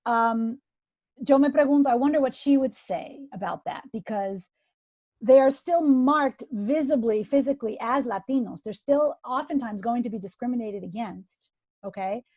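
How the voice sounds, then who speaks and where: A woman's voice: fairly high pitch, a little slow, warm tone, no echo, dull audio. A woman academic in conversational discussion, switching between two languages and repeating words for emphasis. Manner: code-switches between Spanish and English, emphatic repetition. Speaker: academic panelist.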